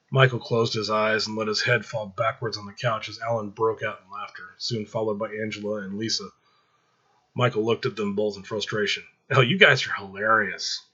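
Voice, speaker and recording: A man's voice: on the low side, fairly bright tone, slightly rough, lo-fi sound. A man reading fiction aloud as a story narrator.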